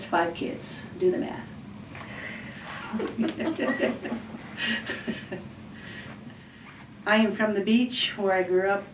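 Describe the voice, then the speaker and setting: A woman in her forties from Washington State giving a personal recitation in a halting, list-like way with many pauses and ums. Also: lowish voice, slight background noise. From Washington State, USA. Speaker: student speaker. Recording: roomy echo, distant mic.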